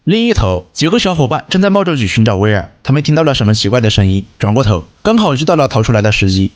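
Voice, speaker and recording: Male narrator, scripted film recap, brisk pace, highly varied intonation. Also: very animated.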